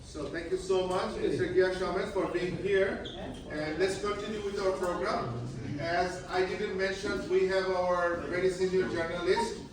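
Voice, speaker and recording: A man's voice: sits high, steady tone, normal speed, hardly any pauses. A man acting as emcee at a community meet and greet, introducing speakers politely with many fillers. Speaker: event emcee. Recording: echoey hall from far off, loud chatter.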